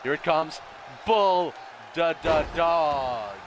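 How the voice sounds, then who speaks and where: A man hosting a gaming video with casual, rambling commentary, repeating himself and swinging between muttering and shouting. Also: on the high side, animated, drawn-out words, a crowd in the background, noisy background.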